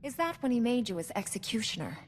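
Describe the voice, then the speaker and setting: An actress playing a young Jedi knight in a game, speaking in an earnest, composed and formal way. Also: female voice, a little high, big pitch swings, fast talker, slight background noise. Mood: calm, probing. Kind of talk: dramatic game cutscene acting. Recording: long reverberant hall.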